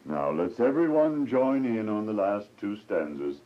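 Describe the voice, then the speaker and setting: An actor playing a kindly, devout, welcoming prisoner with a gentle fatherly manner in a radio drama. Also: lowish voice, very animated, unhurried. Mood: warm, welcoming. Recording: dull lo-fi, faint background noise.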